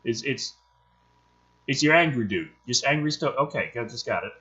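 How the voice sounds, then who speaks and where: A man's voice: mid-range voice, expressive, normal speed, bright, forceful tone, husky, highs cut off. A man reading a screenplay aloud in a theatrical, emphatic way, dropping into casual, sweary, sardonic commentary. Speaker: host reading and commenting on a film script.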